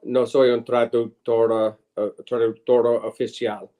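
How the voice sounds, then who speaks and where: A middle-aged man, a native English speaker and lawyer, answering interview questions in halting, searching phrases and switching between two languages. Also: medium pitch, flat delivery, mellow. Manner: halting, searching for words in Spanish. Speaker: lawyer and former state senator, guest.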